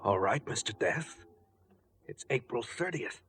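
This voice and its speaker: An actor playing a suspicious, sleepless killer on edge in 1940s radio style, speaking in a clipped, hard-boiled way. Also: male voice, mid-range voice, lively intonation, unhurried, fairly bright tone, slight background noise. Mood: threatening.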